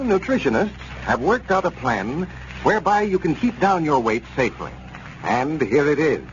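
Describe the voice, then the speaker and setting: An American man announcing in vintage radio style, reading sponsor pitches and story recaps in a polished, emphatic way. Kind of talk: 1930s-40s radio announcing, scripted sponsor pitch and narration. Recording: muffled, noisy old radio recording.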